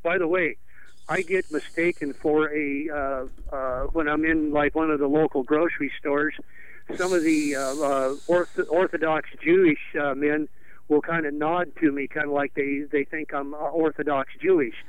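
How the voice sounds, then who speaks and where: A man's voice: fairly high pitch, fairly even intonation, moderate pace, smooth. A man calling in to a radio show, rambling and indignant, with many restarts. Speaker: radio caller. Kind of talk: call-in radio complaint. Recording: phone line.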